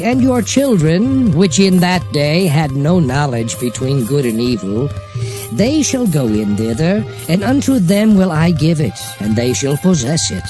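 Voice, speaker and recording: A man's voice: a little high, darkish tone. A man playing a solemn prophet who recounts God's commands in a dramatized scripture reading, with emphatic oratory. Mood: stern. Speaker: Bible narrator.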